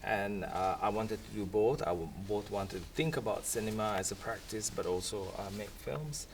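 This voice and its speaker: A man's voice: fairly low pitch, moderate pace, background noise. A man, speaking in a thoughtful, rambling way on a panel. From Singapore. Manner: thoughtful, rambling, with soft laughs. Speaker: academic panelist. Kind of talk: reflective panel talk. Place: event room. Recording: voice from a distant mic.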